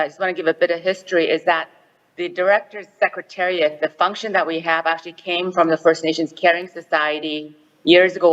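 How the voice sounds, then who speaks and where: A woman's voice: lowish voice, big-room sound, lo-fi sound. A woman chairing a directors forum, speaking earnestly to an assembly by phone and explaining a resolution with frequent restarts. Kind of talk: formal assembly remarks by phone.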